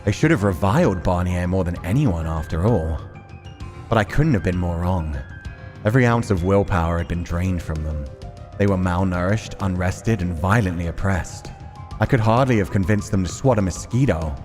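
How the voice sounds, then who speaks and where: A man's voice: sits low, moderate pace, darkish tone, soft background music, lightly acted. A man reading the part of a bitter, ambitious man from the slums, telling his past grimly in a visual novel.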